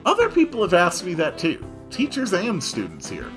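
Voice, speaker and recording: A man reading a visual novel aloud as host, with theatrical, expressive first-person story narration. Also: high-pitched, normal speed, music in the background.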